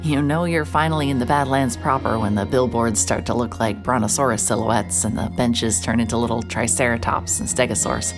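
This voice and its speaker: A woman with a strikingly deep, low voice narrates a noir story in first person, dry and wry. A clear music bed runs under her words. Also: fairly even intonation, a little fast, few pauses. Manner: dry, wry, world-weary. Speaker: narrator and lead character, a private investigator.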